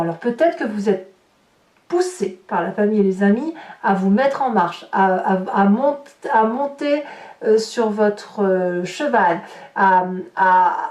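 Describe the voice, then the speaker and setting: Echoey, higher-voiced woman reading tarot. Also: darkish tone. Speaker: tarot reader and host. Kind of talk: tarot reading addressed to viewers. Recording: roomy, echoing sound.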